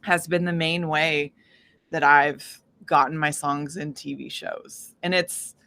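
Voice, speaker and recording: Bright-voiced woman telling animated stories. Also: low-pitched, slow pace, dry recording. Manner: animated storytelling, often acting out quoted dialogue. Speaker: interview guest, independent singer-songwriter. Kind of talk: casual interview talk.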